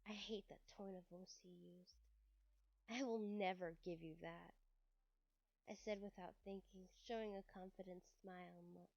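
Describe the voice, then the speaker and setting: A woman's voice: fairly low pitch, steady tone, normal speed, gravelly, darkish tone. A woman streamer who is under the weather, reading a visual novel aloud with casual asides. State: sick, occasional cough. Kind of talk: live let's-play read-aloud narration with casual asides. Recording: roomy echo, dull lo-fi sound.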